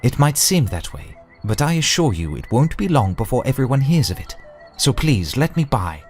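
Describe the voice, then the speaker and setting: A man voicing a steady, civil young wing commander in a fantasy audiobook, formal and firm. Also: mid-range voice, moderate pace, soft background music, voice pushed up, close to an everyday voice.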